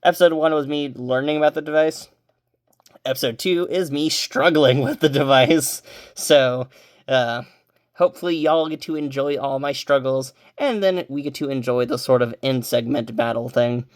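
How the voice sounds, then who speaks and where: A man's voice: mid-range voice, moderate pace, no echo, clean studio sound. A man hosting a casual video, talking loosely and chattily with fillers. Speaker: host.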